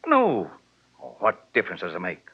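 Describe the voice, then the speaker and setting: An actor playing a distraught, menacing American horse owner, husky and clipped, in 1940s radio style. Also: male voice, sits low, wildly swooping pitch, moderate pace, full character voice. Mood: guarded, bitter. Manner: clipped, terse. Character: gruff, distraught, menacing racehorse owner with henchmen. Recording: dull, band-limited old radio recording.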